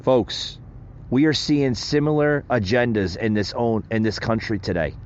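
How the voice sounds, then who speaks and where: A man's voice: medium pitch, a little slow, highs cut off, slight background noise. A man hosting a solo political podcast, speaking emphatically and calling his listeners "folks". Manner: emphatic, rousing delivery addressing "folks". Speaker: podcast host.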